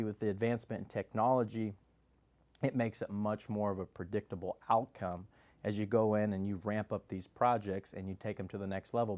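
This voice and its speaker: Executive guest, male, low voice, blended Gulf and Midwest accent, upbeat pitch. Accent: blended Texas, Louisiana and Midwest. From Kansas. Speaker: oil company CEO guest. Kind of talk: sales pitch interview answers.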